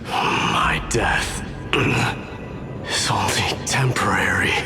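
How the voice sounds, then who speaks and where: A man acting as a weary, undying warrior lord in a fantasy game, halting and solemn, with breathy whispered edges. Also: fairly low pitch. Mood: weary resolve. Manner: halting, solemn, heavy pauses. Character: weary, undying male warrior lord defiantly resisting death. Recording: dramatic music behind the voice.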